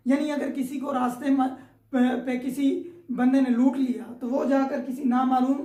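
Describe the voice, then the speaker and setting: A woman's voice: high voice, level tone, moderate pace, dark tone. A woman with a Pakistani accent giving an informal explanatory law lecture, teacherly, repeating her points and checking in often. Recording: echoey, roomy sound.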